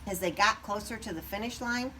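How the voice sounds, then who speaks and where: A woman reading a children's story aloud expressively, pausing at times to put questions to young listeners. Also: mid-range voice, bright, forceful tone, distant mic, slight background noise. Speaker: storybook reader.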